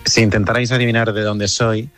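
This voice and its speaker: A man's voice: on the low side, flat delivery, fairly quick, fairly bright tone, faint music behind. A man from Cádiz with no regional accent, delivering a wry, self-deprecating monologue as a listener's phone voice note. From Cádiz, southern Spain.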